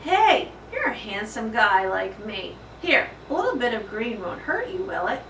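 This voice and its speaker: A woman's voice: fairly high pitch, sing-song, a little slow, a bit bright, exaggerated voice. A woman voicing a big, hearty, jovial male night janitor who jokes exuberantly in a children's storybook. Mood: kindly. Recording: roomy echo, distant mic, faint noise.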